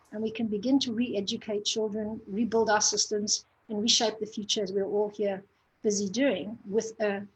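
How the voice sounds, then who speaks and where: A woman's voice: fairly high pitch, breathy edge, poor audio. A woman from Zimbabwe speaking as a panelist in a lofty, emphatic, uplifting way. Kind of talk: inspirational conference talk.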